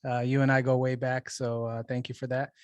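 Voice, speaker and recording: A man's voice: mid-range voice, monotone, brisk, smooth, no echo. A middle-aged man speaking casually and off the cuff as a panel guest on a video call, with conversational, hesitant phrasing. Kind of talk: casual, unscripted self-introduction.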